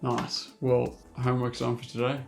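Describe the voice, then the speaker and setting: A man's voice: mid-range voice, completely flat delivery, normal speed, gravelly, faint music behind. A man vlogging casually about learning a new language, upbeat and self-deprecating, talking straight to his viewers. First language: English. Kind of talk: casual vlog talk to camera.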